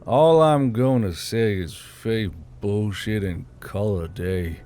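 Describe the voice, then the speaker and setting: A man's voice: on the low side, slow, voice pushed down. A man voicing an exhausted, terse and sardonic military commander in a fantasy audiobook. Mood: weary. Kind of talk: audiobook character voice. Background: soft background music.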